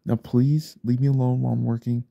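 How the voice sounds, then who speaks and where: Gravelly, husky man, stressed short-tempered father, ASMR roleplay, grumbling and yelling, close dry mic. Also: medium pitch, flat delivery, brisk, dry recording. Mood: stern. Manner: grumbling under his breath, then yelling angrily.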